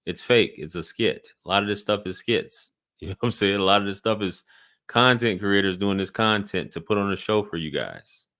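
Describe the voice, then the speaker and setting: Deep-voiced man hosting a live Q&A. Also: normal speed, sharp tone. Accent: Southern American. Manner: laid-back, reads out chat questions and answers them. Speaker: livestream host answering viewer questions. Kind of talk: casual live Q&A chat.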